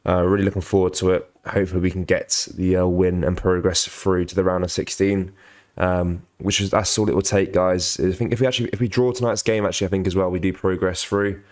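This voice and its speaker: A young man with a cold-croaky voice hosting a casual live football watch-along, halting with many ums while reading viewer comments. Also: low-pitched, flat delivery, darkish tone. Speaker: football channel host.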